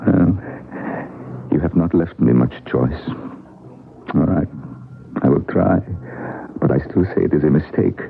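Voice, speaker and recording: A bent, bitter old man in a radio play. His very low voice is husky and creaky, and he speaks in a weary, halting way. It sounds like a thin, phone-like vintage broadcast. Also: full character voice. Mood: weary, hesitant. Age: older. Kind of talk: old-time radio drama acting.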